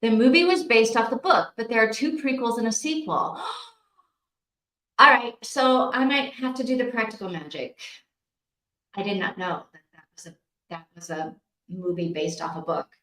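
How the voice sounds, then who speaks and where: A woman's voice: on the high side, moderate pace, a bit bright. A woman hosting a casual live stream, chatting informally and responding to viewers as she goes. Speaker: host of a live reading-sprint stream. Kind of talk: casual chat, reading out and answering viewer comments. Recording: roomy, echoing sound.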